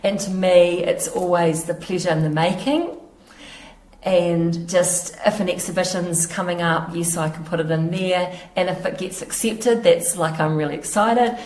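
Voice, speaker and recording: A middle-aged woman with a New Zealand accent, slightly hoarse, chatting casually in an interview about her quilting, halting and laughing easily. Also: lowish voice, steady tone, a little mellow, a little hiss or hum. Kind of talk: casual chat. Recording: echoey room.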